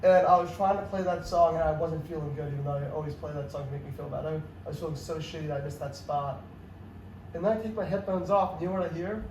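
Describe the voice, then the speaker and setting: High-voiced excitable young man, echoey room. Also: quick pace. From New York City area. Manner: excitable, rambling, lots of "like" and restarts. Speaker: podcast guest, comedian. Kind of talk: casual storytelling chat. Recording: echoey room, mic at a distance, faint noise.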